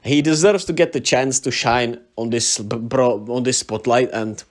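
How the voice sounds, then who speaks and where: A man's voice: medium pitch, moderate pace. A man from the Czech Republic with a Czech accent, hosting his own channel with casual solo basketball commentary, chatty, with lots of fillers and tag questions. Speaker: sports channel host.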